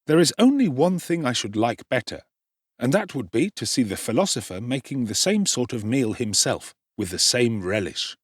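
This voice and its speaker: A man narrates a classic novel with strong theatrical expression and a husky tone. His pitch swings very widely, and the recording is dry and close. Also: big pitch swings, normal speed, dry recording. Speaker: audiobook narrator. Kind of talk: dramatic audiobook narration.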